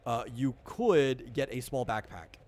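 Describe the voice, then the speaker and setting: A man's voice: medium pitch, animated, measured pace, darkish tone, slight background noise. A man acting as game master, casually explaining tabletop game rules and thinking aloud as he goes. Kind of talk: casual explanatory chat during character creation.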